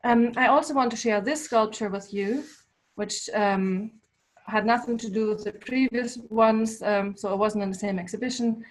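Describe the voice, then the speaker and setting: A woman's voice: on the high side, normal speed, low-quality recording. German-native woman talking through her art. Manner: thoughtful, with frequent ums. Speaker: artist giving a talk. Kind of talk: presentation to a small audience. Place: local climate cafe event.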